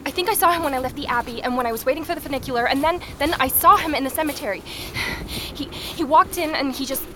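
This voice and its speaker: A woman's voice: sits high, fast talker, fairly bright tone. An actress playing an anxious young woman investigator in an audio drama, speaking in a hesitant, naturalistic way. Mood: frightened, breathless. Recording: faint background noise.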